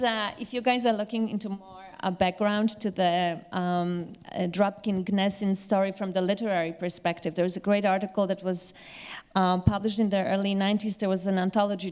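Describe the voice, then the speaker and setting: Female literary commentator, average pitch. Informal but scholarly, with stop-and-start phrasing as she recalls things. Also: fairly even intonation, a little fast, darkish tone, clear, resonant tone.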